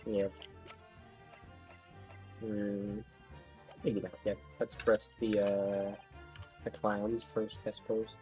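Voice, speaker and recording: A man's voice: lowish voice, completely flat delivery, drawn-out words, long pauses, a little mellow. A man chatting casually and hesitantly while playing a game with friends on a livestream. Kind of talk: casual chat over gameplay. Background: game music.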